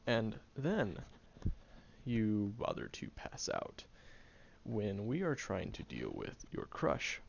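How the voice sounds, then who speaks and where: Man acting grumpy tsundere guy, low and gravelly. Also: huge pitch swings, slow pace, exaggerated voice. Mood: exasperated. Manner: sarcastic, exasperated, sighing. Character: grumpy, sarcastic tsundere young man, reluctant caretaker. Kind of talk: audio roleplay acting, spoken directly to the listener. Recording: dull lo-fi audio with faint noise.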